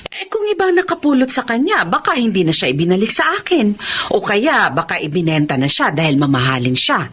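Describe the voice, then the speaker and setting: A woman's voice: medium pitch, big pitch swings, fairly quick, fairly bright tone, a little hiss or hum. An actress playing a wealthy, childless lady devoted to her pet in a Filipino radio drama, emotive and emphatic, with a Filipino accent. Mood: grateful, tender.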